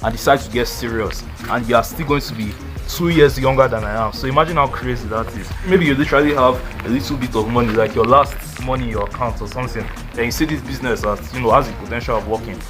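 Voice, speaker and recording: A man's voice: medium pitch, quick pace, hardly any pauses, music in the background. A twenty-year-old man giving casual life advice in a rambling, slangy way, full of "like" and "you know". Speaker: vlogger.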